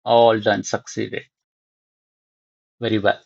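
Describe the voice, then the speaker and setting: Guy doing a screen share tutorial, bit raspy, thinking out loud in bits, muffled dry mic. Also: on the low side, completely flat delivery, no echo. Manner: halting, thinking aloud in short fragments. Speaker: software tutorial instructor. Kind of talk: unscripted screen-share walkthrough.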